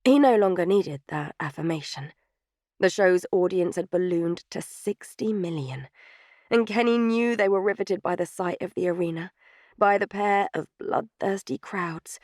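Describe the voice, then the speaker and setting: A woman's voice: fairly low pitch, a little slow, darkish tone, no room sound, clean studio sound. A woman reading scripted third-person fiction as an audiobook narrator.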